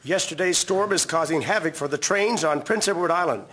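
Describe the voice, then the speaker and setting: A man's voice: on the high side, fairly quick, music in the background, close to an everyday voice. A man playing a 1950s radio newsreader delivering formal scripted bulletins in a drama. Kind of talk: scripted news reading, period radio drama.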